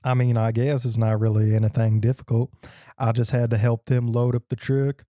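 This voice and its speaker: A man's voice: medium pitch, level tone, brisk, mellow, dry recording, clean studio sound. Laid-back joking young man. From Michigan. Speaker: podcast co-host. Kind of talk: casual chat.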